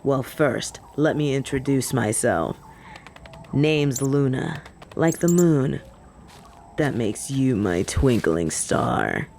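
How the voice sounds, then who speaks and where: A woman's voice: rumbling low, animated, slow pace, creaky, dark tone, slight background noise, voice pushed down, exaggerated voice. A woman voicing a cocky, flirty female werewolf in a roleplay audio drama, possessive and teasing with a drawl. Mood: flirty, coaxing.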